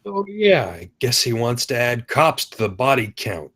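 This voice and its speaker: An actor as a defiant cop with an average-pitched male voice. He answers curtly and with open sarcasm, in the manner of a dramatic script read. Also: big pitch swings, moderate pace, fairly bright tone. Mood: sarcastic, defiant. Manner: sarcastic, curt. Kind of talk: screenplay table read acting.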